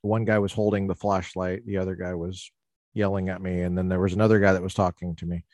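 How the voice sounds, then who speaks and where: Podcast host, male, low voice, dry close sound, animated storyteller who stumbles and restarts. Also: quick pace, no room sound. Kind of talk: casual storytelling in conversation.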